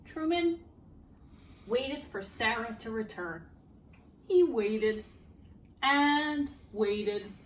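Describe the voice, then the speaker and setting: A woman reading a children's storybook aloud as a read-aloud host, expressive and deliberate, with dramatic pauses and emphasis. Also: on the high side, slight background noise. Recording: roomy echo, distant microphone.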